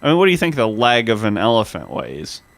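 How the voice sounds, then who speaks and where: A man's voice: fairly low pitch, quick pace, no room sound. Easygoing podcast co-host man. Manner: relaxed, laughs along, recounts history. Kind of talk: casual chat.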